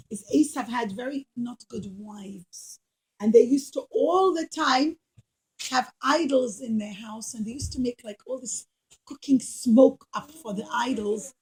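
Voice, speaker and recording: A high-voiced woman tells a story to children. She uses simple, emphatic phrasing and addresses her young listeners directly, like a teacher. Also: a little mellow, poor audio. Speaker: children's puppet show storyteller. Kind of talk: animated storytelling for children, asking questions.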